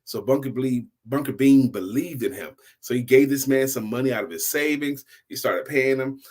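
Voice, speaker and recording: A man's voice: mid-range voice, moderate pace, a little mellow. A middle-aged man hosting an informal motivational storytime, repeating key phrases for emphasis like a seasoned speaker. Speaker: storytelling host, sales coach and speaker.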